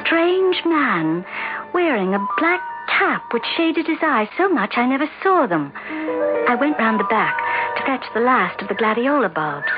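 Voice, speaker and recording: An actress playing an anxious, insistent, sensitive wife in a radio drama, hesitant and pleading. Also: female voice, a little high, sing-song, measured pace. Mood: sad, reflective. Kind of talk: 1970s radio drama acting and narration. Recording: dull old radio broadcast, music behind.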